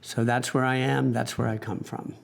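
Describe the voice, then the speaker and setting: A man's voice: medium pitch, flat delivery, normal speed, vocal fry. A man from the United States arguing a position in a formal debate, emphatic and fond of rhetorical lists.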